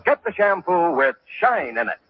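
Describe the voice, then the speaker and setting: An American man working as a radio announcer and pitchman in a polished 1950s announcing style. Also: big pitch swings, normal speed. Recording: noisy, dull old radio broadcast.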